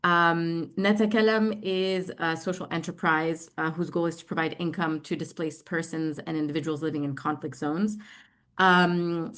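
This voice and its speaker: A middle-aged woman with an American accent and a slightly low voice. She presents to an online group in a halting way, pausing often and stretching out words as she explains. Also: fairly even intonation, fairly bright tone. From New York, United States. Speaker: social enterprise representative presenting.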